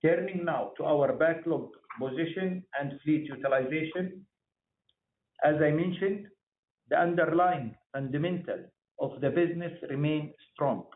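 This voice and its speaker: A man giving a formal, scripted corporate earnings presentation in measured delivery over a conference phone line. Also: fairly high pitch. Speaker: company executive presenter. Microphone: phone or conference call line.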